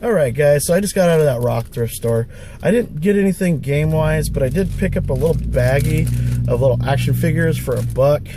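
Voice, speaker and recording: A middle-aged man, hoarse from a cold, chatting casually with a raspy voice in a wry, rambling way as he vlogs his thrift-store hunt. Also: fairly high pitch, fairly quick, warm tone, a little hiss or hum. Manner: wry, rambling, full of fillers. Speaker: thrift-hunting vlogger. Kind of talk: casual vlog chat. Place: outdoors by stores, street traffic.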